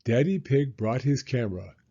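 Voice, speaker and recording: A man reading a children's storybook aloud in an expressive style. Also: medium pitch, normal speed, husky, highs cut off. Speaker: storybook reader.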